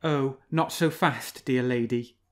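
A man's voice: mid-range voice, natural voice. Renard, a sly scheming fox, done by a man. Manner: drawn-out, theatrical sighs, feigned grief. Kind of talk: storybook reading.

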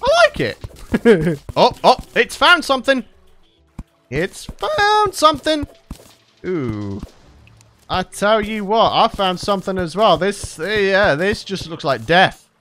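A man's voice: high-pitched, huge pitch swings, takes it slowly, pauses often, fairly bright tone, soft background music. A young man, a regular gaming channel host, chatting cheerfully through a let's play and often laughing, his voice tired from recording late at night. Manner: chatty, upbeat, laughs often, talks to viewers.

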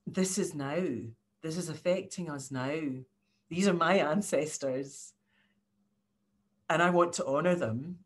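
Older woman from Scotland, low voice, earnest academic speaking on an online panel. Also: a little slow. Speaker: university professor, panel speaker. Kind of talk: informal speech at an online launch. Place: living room at home, indoors. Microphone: video call.

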